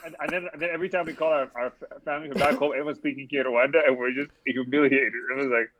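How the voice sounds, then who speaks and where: A man in his twenties co-hosts a relaxed podcast chat. He speaks at a mid pitch, hesitates a lot and repeats words before he lands his thoughts. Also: very fast, muffled recording. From born in Congo. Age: 20s. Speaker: podcast co-host. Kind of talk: casual chat.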